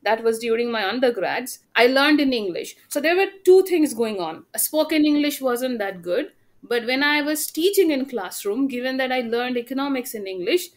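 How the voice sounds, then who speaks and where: A woman's voice: sits high, lively intonation, moderate pace. A woman from India, a native Bengali speaker with a Bengali Indian accent, talking casually and sharing personal experience as a guest. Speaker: podcast guest, economics teacher. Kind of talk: casual conversation, personal storytelling.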